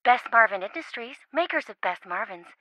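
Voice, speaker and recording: A woman voicing a quirky, sarcastic, excitable young reality-show contestant in a video game, with playful theatrical quips. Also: a little high, fairly quick, no echo. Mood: animated, quippy.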